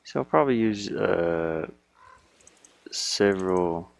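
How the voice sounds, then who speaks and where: Male game dev, lowish pitch, very unhurried, casual think-aloud in muttered fragments. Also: expressive, gravelly, clear, resonant tone. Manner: muttering to himself in short fragments. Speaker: indie game developer.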